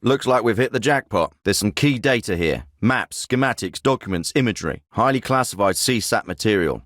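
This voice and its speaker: A commanding special forces officer in a war game, who speaks in terse radio calls with a dry wit. His pitch moves a lot as he talks. The studio sound is close and dry. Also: expressive, fairly quick, a bit bright, no echo. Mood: calm. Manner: clipped military radio talk. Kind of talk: video game voice acting.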